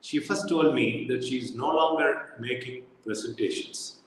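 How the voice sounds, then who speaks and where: Man reading a formal introduction, echoey. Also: mid-range voice. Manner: measured, reads a list of titles and dates. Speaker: host introducing a guest speaker. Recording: roomy echo with long reverb, faint noise.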